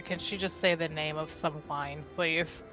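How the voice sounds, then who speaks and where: A woman's voice: low voice, steady tone, a little slow, a bit bright, soft background music. A woman playing a tabletop game, describing what her character does in the third person. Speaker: player. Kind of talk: casual tabletop play.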